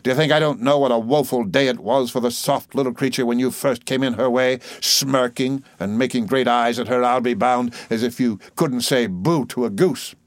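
A man's voice: mid-range voice, normal speed, few pauses, dry recording, voice pushed up, lightly acted. A man voicing a fierce, blunt elderly aunt who scolds with indignation. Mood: scolding.

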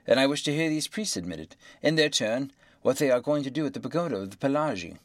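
A man voicing a placid, formal and firm English gentleman in a storybook reading. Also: mid-range voice, expressive, quick pace, no room sound, studio quality, lightly acted. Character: composed, unflappable English gentleman.

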